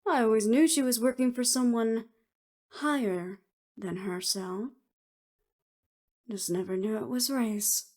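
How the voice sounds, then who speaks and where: A woman's voice: fairly high pitch, measured pace, pauses often, a little mellow. Woman playing a teasing, sardonic estranged friend. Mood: wry, musing. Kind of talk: audio roleplay acting.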